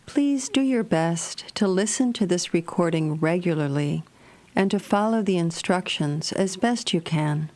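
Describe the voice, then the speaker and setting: A woman narrating a guided meditation in a gentle, measured, soothing manner. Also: lowish voice, lively intonation.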